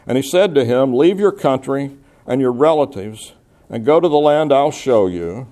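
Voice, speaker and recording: A pastor reads a Bible passage aloud, then explains it verse by verse. His voice is mellow and warm, and his pitch sits in a normal range for a man. He sounds measured and teacherly, working through questions aloud with his congregation. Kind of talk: expository Bible teaching, reading scripture and explaining it.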